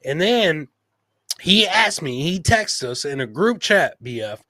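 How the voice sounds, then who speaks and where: Punchy, bright-voiced male podcast host. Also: a little high, sing-song, measured pace, no room sound. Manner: blunt, profane, buddy-like talk. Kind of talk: casual podcast chat.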